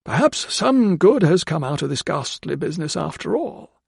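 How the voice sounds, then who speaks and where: A man's voice: on the high side, sing-song, moderate pace, vocal fry, breathy edge, no room sound, clean studio sound, voice pushed up, heavily acted. A man voicing a bluff, kindly older male doctor with a hearty manner.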